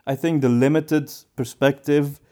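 A man's voice: on the high side, steady tone, measured pace, warm tone. A man in a casual podcast discussion, feeling his way through his thoughts with repeated and rephrased words. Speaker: podcast co-host.

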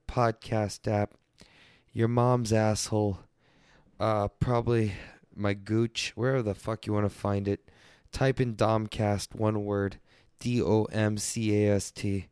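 A man's voice: lowish voice, darkish tone, clear, resonant tone, no room sound, studio quality. A man hosting a crude solo comedy podcast, rambling loosely and swearing freely. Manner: profane, loose and rambling, mock-defensive. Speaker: podcast host.

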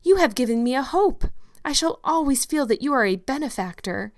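A woman's voice: sits high, lively intonation, fairly quick, pitched above the performer's own voice. A woman doing an effusive, dreamy young girl. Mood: hopeful. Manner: effusive, earnest, dramatic. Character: imaginative, talkative, dramatic young orphan girl.

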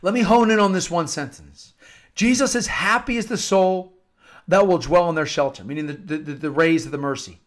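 High-voiced American man preaching earnestly. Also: moderate pace. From United States. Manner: earnest, emphatic, repeats phrases for emphasis. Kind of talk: informal devotional talk with scripture-like readings.

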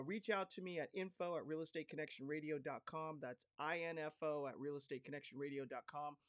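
A man with a hoarse voice hosting a podcast, talking in an upbeat, chatty way with plenty of fillers. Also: fairly high pitch, a bit bright, no room sound, clean studio sound. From California. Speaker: podcast host. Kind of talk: casual podcast talk.

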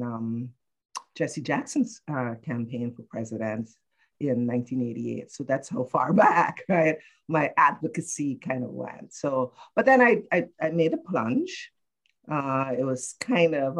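Measured woman guest, many pauses. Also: a bass voice. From Guyana. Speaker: panel guest. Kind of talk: telling her life story in conversation.

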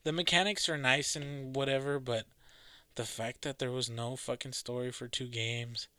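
A man in relaxed gaming banter, cursing casually and laughing easily. His voice is very bright and sits close on a dry mic. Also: mid-range voice, normal speed, no room sound. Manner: animated, swears a lot, laughs often.

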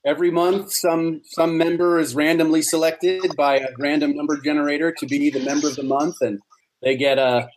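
A man's voice: fairly high pitch, fairly even intonation, normal speed, poor audio. A man talking as an interview guest, explaining things in a casual conversational way. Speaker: interview guest, society co-founder.

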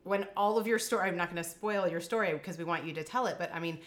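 Animated woman co-host telling a story. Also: lowish voice, fast talker. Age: 40s. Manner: animated storyteller, acts out dialogue. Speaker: podcast co-host. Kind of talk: casual podcast chat.

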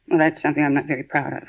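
A woman's voice: deep voice, husky. An actress playing an anxious woman who talks hesitantly and stumbles over her words, on a 1950s radio drama. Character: anxious, fretful middle-aged woman. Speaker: victim's sister. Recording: dull lo-fi 1950s radio broadcast.

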